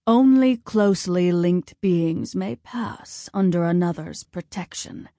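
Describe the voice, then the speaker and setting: A woman voicing a commanding, cold male war god and father, speaking in a formal, measured way. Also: fairly low pitch, mellow, dry recording, clean studio sound. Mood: solemn, commanding.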